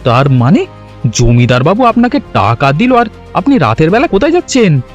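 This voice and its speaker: A man voicing an excitable, loyal village helper in a cartoon, exclaiming and halting over filler words. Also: on the high side, lo-fi sound. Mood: puzzled, suspicious. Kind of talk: cartoon story dialogue. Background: music.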